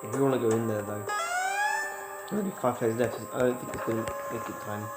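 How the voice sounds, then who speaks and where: A man with a cold, sniffling, chatting casually to his viewers while he plays, and shouting when things go wrong. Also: medium pitch, steady tone, a little fast. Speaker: gaming YouTuber. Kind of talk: casual gameplay commentary. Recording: roomy with loud game music.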